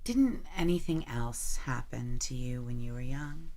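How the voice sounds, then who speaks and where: An actress playing a concerned, tentative young college friend in an audio drama, speaking hesitantly and carefully. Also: female voice, rumbling low, unhurried, mellow.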